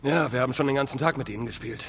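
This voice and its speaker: A man's voice: mid-range voice, rapid-fire, a bit bright, a little hiss or hum, lightly acted. A man dubbing a wry young soldier son in a video game, over game music. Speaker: game character. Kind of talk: German game dub.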